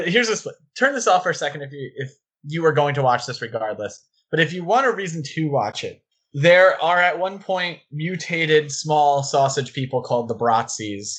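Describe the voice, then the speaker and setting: A man's voice: a little high, fairly bright tone. Man co-hosting a casual film podcast. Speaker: podcast co-host.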